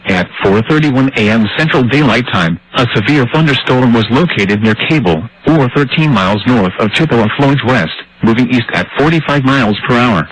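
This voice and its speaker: A synthetic male voice reading formal emergency weather warnings in a measured, impersonal way over a radio broadcast. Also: mid-range voice, lively intonation, fairly bright tone, low-quality recording. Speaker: automated weather radio announcer. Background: radio static and hiss.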